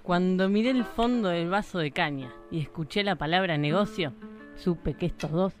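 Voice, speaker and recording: A woman's voice: fairly low pitch, moderate pace, lightly acted. Tough small-town crime narrator, woman acting, radio play, dramatic, hard-boiled, plucked guitar behind. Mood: ominous, watchful.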